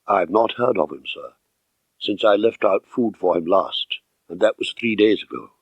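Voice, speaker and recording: A man voicing a loyal household butler in an audiobook, respectful, measured and a little hesitant, guarded but earnest. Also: lowish voice, lively intonation, husky, dry recording, lo-fi sound, voice pushed down. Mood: deferential, guarded. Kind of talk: audiobook character dialogue.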